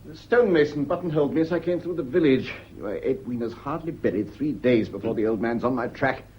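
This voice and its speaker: An actor playing an irritable, uneasy British master of the house in a radio play, sardonic and at times nervously hesitant. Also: male voice, medium pitch, expressive, a little fast, highs cut off, lightly acted. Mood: brisk. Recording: noisy old radio drama recording.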